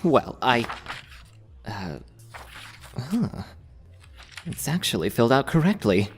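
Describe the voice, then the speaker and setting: A man's voice: mid-range voice, sing-song, slow, slight background noise. Man playing a prim, swooping-voiced shop assistant. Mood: hesitant, concerned. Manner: prim, hesitant, polite. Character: fussy, polite, reserved male shop assistant. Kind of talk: fan audio drama acting.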